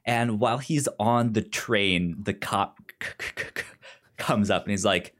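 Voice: mid-range voice, a little slow, fairly bright tone. Stuttering podcast host chatting about a film. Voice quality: stutter, repeated sounds and syllables. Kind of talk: casual film discussion, retelling a plot.

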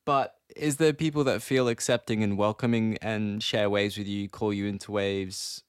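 A man with an Australian accent interviews a guest in an easygoing, conversational style. His voice sits fairly low. The recording is dry, with hardly any room sound. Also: fairly even intonation, moderate pace, smooth, no room sound. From East Coast Australia. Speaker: podcast host, interviewer.

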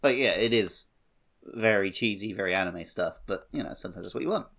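A man's voice: fairly low pitch, fairly quick, vocal fry, fairly bright tone. A man co-hosting a podcast, chatting casually about a video game. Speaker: podcast co-host.

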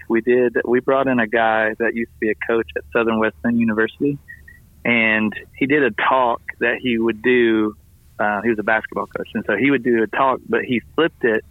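A slightly hoarse man calls in over a tinny, narrow phone line. He is a pastor reporting on his week with upbeat enthusiasm. He rambles warmly through a lot of "um"s, and the sound is dry and close. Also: medium pitch, no room sound. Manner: upbeat, enthusiastic storytelling with fillers. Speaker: family ministries pastor. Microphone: phone call.

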